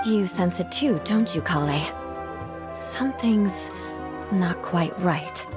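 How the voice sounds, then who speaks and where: A woman voicing a calm, cautious and composed young leader in a video game, speaking in a measured, formal way. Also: on the low side, music in the background. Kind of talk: video game cutscene dialogue.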